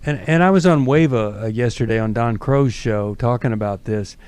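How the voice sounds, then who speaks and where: A middle-aged man hosting a call-in radio talk show, speaking earnestly and emphatically with deliberate pauses. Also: medium pitch, a little mellow, clear, resonant tone, dry recording. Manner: earnest, emphatic, pausing for effect.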